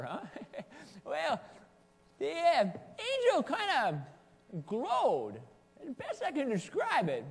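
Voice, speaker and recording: Folksy old shepherd, high voice swooping widely, sassy and excitable, comic stage monologue in an echoing hall. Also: male voice, gravelly, slightly rough, exaggerated voice. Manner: rambling, playful asides, scolding and chuckling. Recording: long echoing hall reverb.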